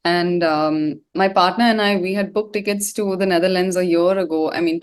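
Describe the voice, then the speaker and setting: Female singer as interview guest, slightly low register, informal and rambling delivery. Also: a little mellow. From India. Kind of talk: casual chat.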